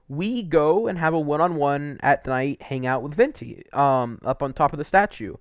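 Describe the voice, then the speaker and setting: A man's voice: fairly high pitch, no echo, clean studio sound. A man giving casual, unscripted, opinionated game commentary in a rambling, emphatic way. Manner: rambling, frequent "like" and mild swearing. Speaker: video creator reviewing game quests.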